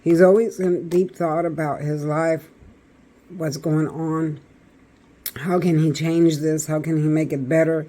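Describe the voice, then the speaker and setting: A man with a raspy voice doing a chatty tarot reading, talking straight to his viewers. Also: a little high, level tone, unhurried, warm tone, slight background noise. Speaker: tarot reader.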